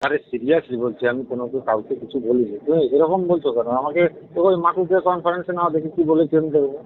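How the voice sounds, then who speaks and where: High-pitched man on a tinny phone line. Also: quick pace. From West Bengal, India. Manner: protesting, questioning. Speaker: prank call victim. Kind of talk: casual phone conversation. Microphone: telephone.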